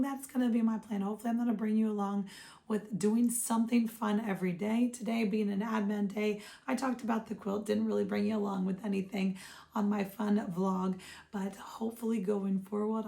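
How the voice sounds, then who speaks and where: A woman hosting a casual craft vlog, her voice hoarse and froggy, chatting upbeat with viewers she calls friends. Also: medium pitch, mellow. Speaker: craft shop owner and vlog host. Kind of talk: casual show-and-tell vlog.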